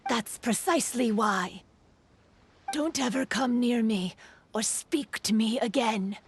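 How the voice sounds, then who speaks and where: A woman's voice: a little high, slow pace, slight background noise. A woman voicing a haughty, prickly young female yokai with curt delivery in an English game dub. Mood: cold warning. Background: faint music.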